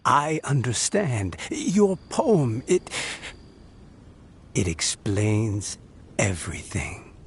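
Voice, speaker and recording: An actor playing a gentle old grandfather in a video game, tender and wistful, speaking softly. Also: medium pitch, wildly swooping pitch, very slow, slight background noise, full character voice. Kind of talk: scripted video game voice acting.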